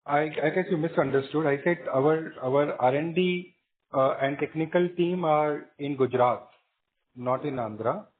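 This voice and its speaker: A man with an Indian accent answering analysts as a company executive on a business call, measured and matter-of-fact in explaining. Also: on the high side, a little hiss or hum. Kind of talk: spontaneous business Q&A on an earnings call. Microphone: conference call line. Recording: muffled narrowband phone conference line.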